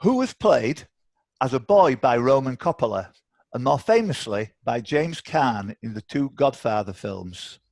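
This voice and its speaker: A man's voice: medium pitch, lively intonation, measured pace, creaky, darkish tone, no echo. Man hosting a quiz, dry close sound. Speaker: quiz host. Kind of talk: live quiz hosting, reading questions aloud. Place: online video call.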